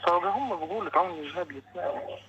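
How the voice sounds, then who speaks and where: A man's voice: high-pitched, normal speed, sharp tone. A man with a Palestinian Arabic accent talking casually on a phone call. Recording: muffled, very noisy intercepted phone call.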